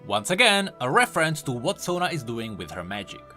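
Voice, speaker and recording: A man's voice: medium pitch, big pitch swings, a little fast, bright, forceful tone, soft background music. A man giving enthusiastic, emphatic explanatory commentary on video game lore.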